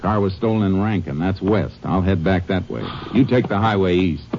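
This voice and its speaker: An actor playing a stern Texas Ranger who talks in a clipped, commanding way, in 1940s radio acting style. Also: male voice, low voice, normal speed, a little mellow. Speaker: narrator and lead. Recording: dull old radio recording.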